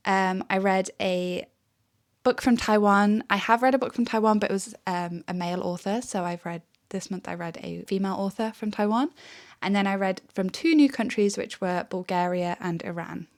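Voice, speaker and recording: A chatty young woman talking through her month of reading in a relaxed, casual monologue. She is just getting over an illness, so her voice can turn a little croaky. Her delivery is loose and friendly, with lots of "um"s. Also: mid-range voice. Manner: chatty, frequent "um" and light laughs. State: recovering from illness, may sound croaky. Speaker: book vlogger. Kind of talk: casual book review monologue.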